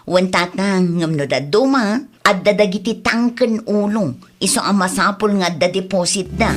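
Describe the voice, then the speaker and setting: A breezy village shopkeeper, played by a woman in a rural comedy drama. She chats easily in an Ilocano accent, with a medium-pitched voice for a woman. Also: moderate pace, soft background music, close to an everyday voice. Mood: chatty, respectful. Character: easygoing, chatty village woman renting out costumes.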